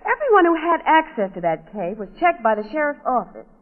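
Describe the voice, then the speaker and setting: An actress playing a sharp, inquisitive female sleuth, eager and brisk, in an old radio drama. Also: high voice, big pitch swings. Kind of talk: 1940s radio drama acting. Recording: tinny, band-limited old radio recording, long reverb.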